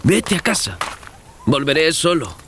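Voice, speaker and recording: A male narrator voicing a curious, obstinate English gentleman traveller, questioning and dismissive of superstition, in a dramatized audiobook. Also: fairly high pitch, big pitch swings, measured pace, background noise, voice pushed up. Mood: defiant.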